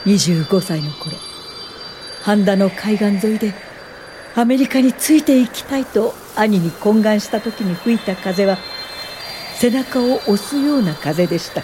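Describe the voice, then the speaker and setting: A woman's voice: medium pitch, expressive, background noise. An actress in a radio drama playing an earnest, principled woman educator and social worker, speaking politely and thoughtfully. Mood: reflective. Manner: polite, thoughtful, measured delivery. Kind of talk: radio drama dialogue and reflective first-person narration.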